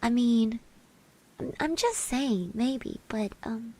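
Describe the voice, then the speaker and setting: A woman voicing a shy, sweet, chatty girl classmate in a soft-spoken, hesitant ASMR roleplay. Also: a little high, slow, low-quality recording. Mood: gentle interest. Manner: hesitant, gentle, refers to herself in third person.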